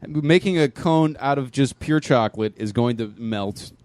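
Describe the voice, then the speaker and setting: A man's voice: mid-range voice, normal speed, darkish tone, no room sound. A man co-hosting a comedy podcast in a casual chat, rambling and riffing with lots of fillers. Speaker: podcast co-host.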